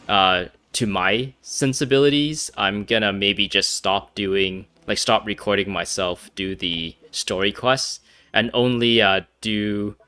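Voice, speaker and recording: A man's voice: on the low side, pushed and bright, clean tone, no echo. A man giving casual gameplay commentary, rambling with many fillers and restarts. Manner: rambling, hesitant, frequent fillers and restarts, laughs often. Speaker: gaming commentator.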